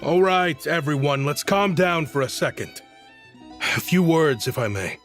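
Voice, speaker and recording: Placating, diplomatic town official. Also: male voice, fairly high pitch, expressive, fairly bright tone, soft background music. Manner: measured, conciliatory, sighing speechmaker. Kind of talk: video game voice acting.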